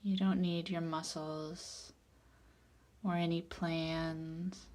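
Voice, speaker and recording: A woman leading a guided meditation in a calm, soothing, unhurried way, her soft voice turning breathy at the ends of phrases. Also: fairly low pitch.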